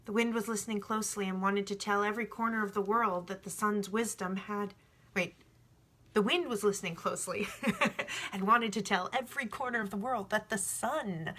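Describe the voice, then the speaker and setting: A woman reading a story aloud in an expressive, informal way, like a home storyteller. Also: medium pitch, breathy edge. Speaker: storybook reader. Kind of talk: book read aloud with informal asides.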